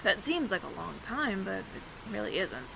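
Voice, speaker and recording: A woman's voice: mid-range voice, sing-song, moderate pace, vocal fry, noisy background. A woman voicing a friendly, flustered alien roommate who passes as human, speaking to the listener in a hesitant, stammering, earnest ramble in an audio roleplay. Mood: musing.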